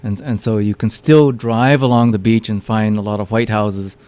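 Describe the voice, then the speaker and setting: A man's voice: fairly low pitch, fairly even intonation, normal speed, a little mellow, smooth. A man giving a public lecture with slides, often stopping to repeat his words as he explains. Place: meeting room with audience.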